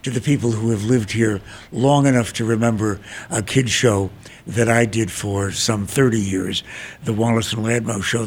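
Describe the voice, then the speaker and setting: A man's voice: lowish voice, husky. An older man hosting an interview, joking and teasing his guests with rambling asides. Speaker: host. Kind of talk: interview chat.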